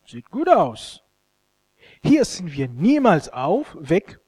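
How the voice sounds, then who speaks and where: High-pitched, animated male preacher, slow-paced. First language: Luganda. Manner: halting, expressive delivery with repeated stumbles over words. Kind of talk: sermon with scripture reading and commentary.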